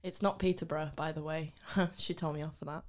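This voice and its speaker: A young woman from Yorkshire with a Northern English accent, hosting a casual podcast chat with friends, teasing and quick with asides. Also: low-pitched, fairly even intonation. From Yorkshire, England. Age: young adult. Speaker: podcast host.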